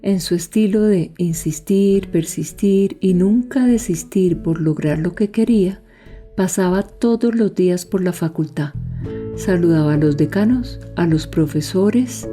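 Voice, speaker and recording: Very dark, mellow female narrator. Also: on the low side, a little slow. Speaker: podcast narrator and host. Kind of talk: scripted narrative storytelling. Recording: music behind the voice.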